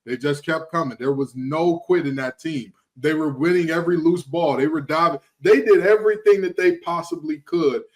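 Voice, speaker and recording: A man's voice: fairly high pitch, normal speed, few pauses, darkish tone, low-quality recording. Wry, joking man on a sports show. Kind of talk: casual sports chat.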